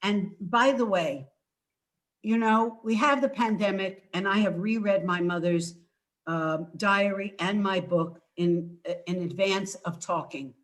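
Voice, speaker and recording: An older woman speaks at an unhurried, slow pace, pausing and restarting, then leaning on key words. She sounds like an author giving an informal talk from home on a video call. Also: medium pitch. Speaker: author presenting her book. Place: indoors at home.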